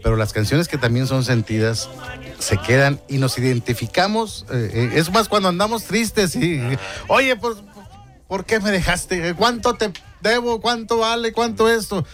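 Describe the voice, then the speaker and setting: A man's voice: high-pitched, unhurried, a bit bright, soft background music. A man hosting a morning radio show, chatting casually and laughing easily with his guests. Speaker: radio host.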